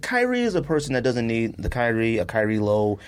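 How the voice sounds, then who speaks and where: A man hosting a casual sneaker and sports podcast, talking in a slangy, animated way and often repeating himself for emphasis. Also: mid-range voice, a little fast, clear, resonant tone. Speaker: podcast host.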